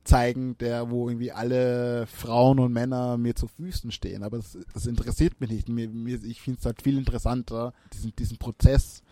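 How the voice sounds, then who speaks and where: A musician, answering interview questions in a reflective way, with many restarts and fillers. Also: male voice, mid-range voice, steady tone, moderate pace, hardly any pauses, mellow, dry recording. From Austria. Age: 30s.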